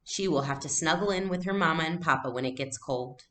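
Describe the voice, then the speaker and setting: Lady reading as a good-humoured storybook mom, gentle and practical, a bit of noise behind her. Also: low-pitched, brisk. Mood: gentle teasing. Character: gentle, good-humoured mother of young girls.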